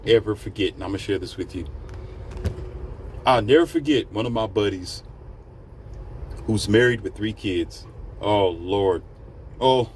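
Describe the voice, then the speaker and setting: A man's voice: lowish voice, slight background noise. A man hosting his own podcast, rambling in a casual, slangy storytelling monologue. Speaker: podcast host.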